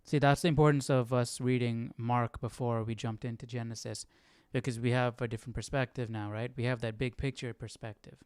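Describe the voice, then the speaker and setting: A man's voice: medium pitch, fairly even intonation, a little fast, hardly any pauses, darkish tone, clean tone, dry recording, clean studio sound. A man hosting a live Bible study, chatting casually and conversationally as he teaches. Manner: conversational, asks listeners questions.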